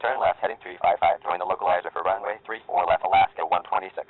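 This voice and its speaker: A man voicing an airline pilot who reads back air traffic clearances in clipped radio phrasing. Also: low-pitched, fairly even intonation, crowd noise, natural voice. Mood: routine. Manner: quick clipped radio readbacks. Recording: narrow radio band.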